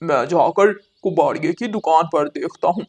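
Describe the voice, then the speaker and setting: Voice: mid-range voice, normal speed, darkish tone, breathy edge. A performer voicing a stingy, bossy male crow, a husband and father, in a moral-story cartoon, with a curt manner. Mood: businesslike.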